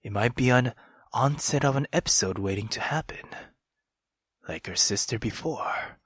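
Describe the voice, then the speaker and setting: A man voicing a cold, guarded young nobleman from a visual novel, in a breathy, halting, grave murmur. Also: mid-range voice, expressive, a bit bright, dry recording, clean studio sound, voice pushed down. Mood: weary worry.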